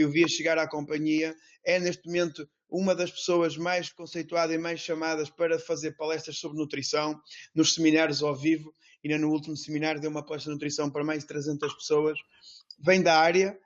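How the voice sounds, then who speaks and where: A man opens an online talk and introduces the next speaker, hesitating often and filling gaps. His voice sits fairly high yet stays very flat. The sound is dull and lo-fi. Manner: hesitant, many fillers. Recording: dull lo-fi audio.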